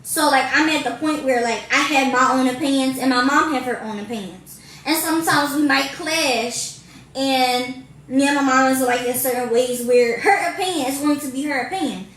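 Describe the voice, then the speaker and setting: A woman's voice: high voice, normal speed, a bit bright, roomy sound, low-quality recording. An eighteen-year-old woman co-hosting a casual chat. She talks in a reflective, rambling way, full of "like". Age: young adult. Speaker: podcast co-host, daughter.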